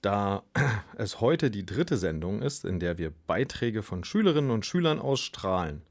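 Husky-voiced man hosting, lively pitch swings. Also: a little slow. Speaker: radio show host. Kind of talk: radio show presenting.

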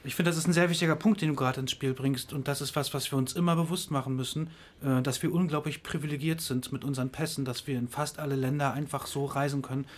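A man's voice: medium pitch, fairly even intonation, quick pace, few pauses. A man giving an earnest, reflective commentary in a radio discussion and explaining his point at length.

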